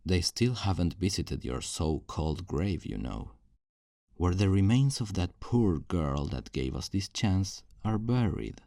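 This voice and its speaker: A man's voice: sits low, big pitch swings, measured pace, creaky, dark tone, no echo, clean studio sound, heavily acted. A man playing a possessive, self-righteous kidnapper in an ASMR roleplay, speaking in a condescending, coaxing, menacingly tender way. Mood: possessive menace. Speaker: audio roleplay performer.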